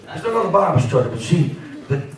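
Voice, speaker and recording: A man's voice: on the high side, sing-song, fast talker. Male Pentecostal preacher, fifties, fervent and emphatic, distant heavily echoing church sound. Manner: fervent, emphatic, punctuated with amens. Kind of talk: Pentecostal sermon. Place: church sanctuary, at and around pulpit. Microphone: handheld microphone. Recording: very echoey church hall, from far off.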